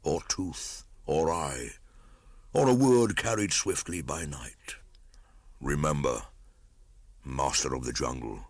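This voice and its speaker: A weary old bear, voiced by a male storyteller, speaks slowly and gently. The voice is extremely low and grave, a tender old teacher in a storybook, with a dry, close sound. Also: lively intonation, dry recording, full character voice. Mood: tender, consoling.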